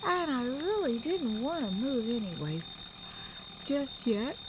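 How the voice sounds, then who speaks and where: Animated American woman storyteller. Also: high voice, slow, heavy background noise. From United States. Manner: conversational, expressive, acts out moments. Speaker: author narrating own audio memoir. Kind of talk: dramatized first-person storytelling. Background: music.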